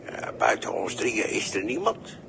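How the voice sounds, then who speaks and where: An actor playing a mocking, unhelpful deckhand in a radio play, drawling with mock formality. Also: male voice, measured pace, close to an everyday voice. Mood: mocking, smug. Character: mocking, pompous idle deckhand, wryly unhelpful. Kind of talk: 1960s Dutch radio play acting. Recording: faintly noisy 1960s radio recording.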